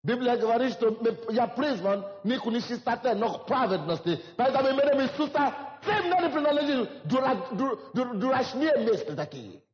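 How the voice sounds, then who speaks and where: High-voiced preacher in a reverberant hall. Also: male voice, lively intonation, moderate pace, hardly any pauses. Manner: preaching with rising emphasis and repetition. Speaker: pastor. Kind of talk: sermon. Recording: dull lo-fi hall recording.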